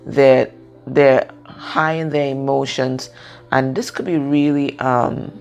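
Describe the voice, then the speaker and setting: A woman with a husky voice giving a casual, unscripted card reading, pausing and often checking in with the listener. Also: very deep voice, fairly even intonation, darkish tone, faint music behind. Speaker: tarot and oracle card reader.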